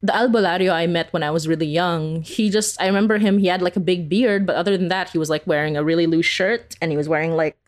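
A woman from the Philippines speaks as an interview guest with a slightly low voice. She is chatty and giggly, rambling through her thoughts and often breaking off to start again. The delivery is casual and conversational. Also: fairly even intonation, quick pace, hardly any pauses. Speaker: interview guest, horror audio drama creator.

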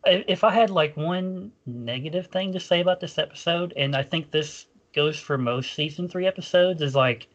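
A man's voice: fairly high pitch, moderate pace, hardly any pauses, lo-fi sound. A man co-hosting a casual fan podcast. He rambles, packs in "like" fillers and often restarts his sentences. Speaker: podcast co-host.

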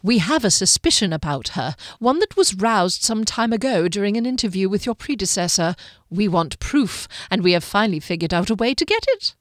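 A woman's voice: mid-range voice, sing-song, a little fast, dry recording, studio quality, natural voice. A woman voicing a pale male lieutenant who is cheerful and menacing, speaking in a mocking, theatrical, sing-song way. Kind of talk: audiobook character voice.

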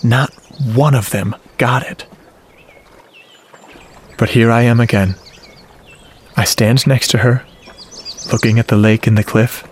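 Man playing a slow-talking, confiding lovestruck audio geek. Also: medium pitch, fairly even intonation, a little hiss or hum, natural voice. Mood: wistful, hushed. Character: lovestruck, self-doubting male audio geek telling his romance. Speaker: narrator and lead actor. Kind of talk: first-person radio drama narration.

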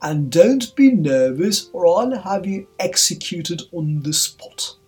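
A woman voicing a short-tempered, blustering male king in a storybook, sharp and imperious as he commands. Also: high voice, big pitch swings, takes it slowly, faint music behind, voice pushed up, heavily acted.

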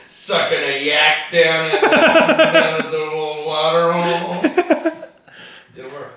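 A man's voice: a little high, animated, slow, a crowd in the background. Laughing man in a very echoey room. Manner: laughing storyteller, lots of fillers. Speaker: comedy podcast co-host. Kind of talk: casual comedy chat. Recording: very echoey room, mic at a distance.

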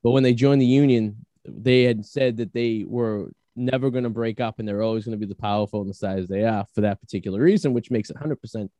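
A man's voice: lowish voice, quick pace, dark tone, no echo. A man hosting a casual podcast, talking loosely with stumbling restarts and casual swearing. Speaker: podcast host.

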